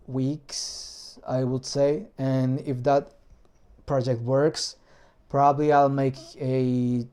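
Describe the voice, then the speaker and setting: Slow, halting man with a Spanish accent. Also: mid-range voice, warm tone, clean tone. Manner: hesitant, searching for words in a second language. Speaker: hobbyist maker explaining a 3D-printed engine. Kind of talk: casual explanatory vlog.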